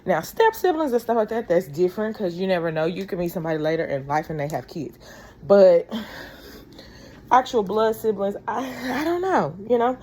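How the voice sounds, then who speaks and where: A woman's voice: mid-range voice, animated, normal speed, a little mellow. A woman in her thirties chatting casually to her vlog viewers in a rambling, confiding way, calling them y'all. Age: 30s. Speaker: vlogger. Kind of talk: casual chat to camera. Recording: faint background noise.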